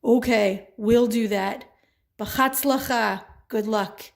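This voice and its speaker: A woman's voice: on the high side, takes it slowly, creaky. A woman voicing a firm, devout uncle in a children's puppet show, earnest and insistent. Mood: encouraging. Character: firm, devout uncle counselling his niece.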